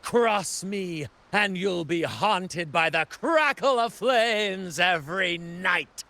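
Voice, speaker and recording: Wry showy young adventurer guy, game character, highish voice, unhurried, coy and teasing, music under it. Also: sharp tone, full character voice. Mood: grandiose menace. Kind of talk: video game character acting.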